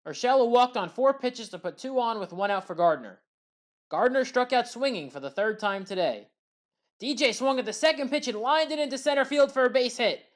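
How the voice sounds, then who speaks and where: A woman giving a scripted play-by-play recap of baseball highlights in a measured, factual way. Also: expressive. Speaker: highlights commentator.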